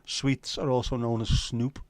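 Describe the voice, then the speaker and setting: A man's voice: medium pitch, flat delivery, measured pace, no room sound. A middle-aged man from Liverpool with a Scouse accent, chatting casually and jokily on a livestream as host, swearing freely as he answers viewers. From Liverpool, England. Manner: banter-filled, sweary, jokey. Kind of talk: casual livestream chat reading viewer comments.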